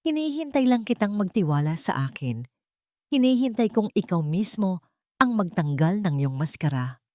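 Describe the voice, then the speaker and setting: A woman's voice: medium pitch, sing-song, moderate pace, mellow, dry recording, clean studio sound, lightly acted. Woman voicing earnest Filipino man in love, tender. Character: devoted, steadfast young businessman in love.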